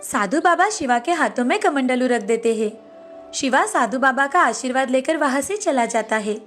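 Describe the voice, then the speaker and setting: A woman's voice: high voice, expressive, moderate pace, a bit bright, faint music behind. A woman narrator reading a scripted moral bedtime story.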